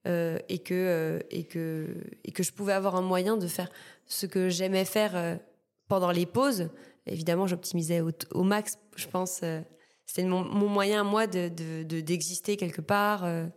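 Hesitant young Martinican woman, lower voice. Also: steady tone. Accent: Martinican French. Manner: halting, many fillers and repeated words. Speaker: guest artist. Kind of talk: casual interview chat.

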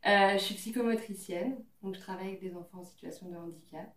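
A woman's voice: mid-range voice, steady tone, fast. Chatty woman in her twenties, distant mic. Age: 20s. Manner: chatty storyteller, laughs often, many fillers. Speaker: podcast guest. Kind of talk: casual chat among friends. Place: living room, around a coffee table. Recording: roomy sound from a distant mic.

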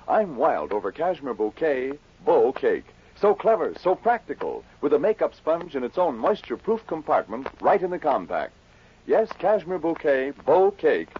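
A man's voice: on the high side, husky. A man with an American accent reading a scripted advertisement in 1940s radio style. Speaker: commercial announcer. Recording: muffled old 1940s radio recording.

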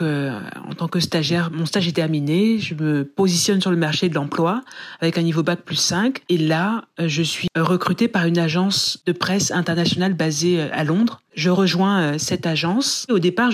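A woman from France, a podcast guest and author, tells her career story in conversation as an animated storyteller who often pauses and restarts her sentences. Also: lowish voice.

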